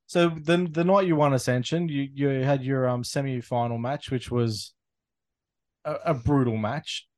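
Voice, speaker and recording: A man hosts a casual wrestling interview. His voice sits at a medium pitch. He stumbles and restarts as he shapes his questions. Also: a little slow, darkish tone. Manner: hesitant, with many restarts and "um"s.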